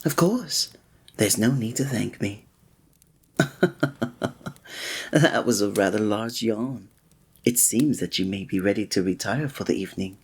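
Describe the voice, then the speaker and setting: A man's voice: fairly low pitch, measured pace, long pauses, breathy edge. A man playing a flirtatious, courteous innkeeper in a fantasy village, speaking formally in a scripted ASMR roleplay addressed to the listener. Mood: courteous, warm. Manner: formal, old-fashioned, teasing, suave diction.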